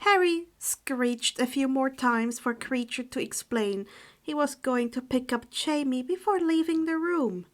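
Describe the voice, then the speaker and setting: A woman narrates a story in an expressive audiobook style. Her voice sits fairly high and she reads at a very slow, deliberate pace. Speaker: audiobook reader of fan fiction.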